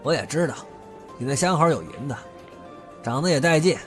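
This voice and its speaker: A menacing, foul-mouthed man with a grudge as a male voice actor plays him in an audio drama. His pitch is fairly high and swings in a lively way as he sneers and taunts, with music underneath. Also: fairly quick, natural voice. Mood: sneering threat. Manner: sneering, crude swearing, taunting. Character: menacing, vulgar, disfigured older brother with a grudge. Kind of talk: Chinese audio drama acting. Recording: music behind the voice.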